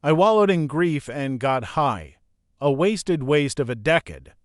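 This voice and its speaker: A man narrates a string of forum posts in a steady, even read. His voice is smooth and a little higher than most men's, and the recording is dry and close, with no room sound. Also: measured pace, dry recording. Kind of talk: scripted narration.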